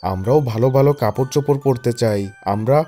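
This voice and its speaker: A man's voice: mid-range voice, normal speed, dark tone, faint music behind, close to an everyday voice. A man playing a poor, greedy young schemer in scripted cartoon fable dialogue. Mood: pleading.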